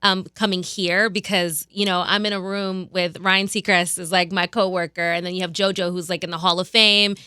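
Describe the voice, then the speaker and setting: A woman's voice: on the low side, little pitch movement, normal speed, hardly any pauses, sharp tone, smooth, no echo, studio quality. A young woman from Maryland who works as a radio personality, chatting casually as a podcast guest with lots of fillers and restarts. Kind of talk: casual chat.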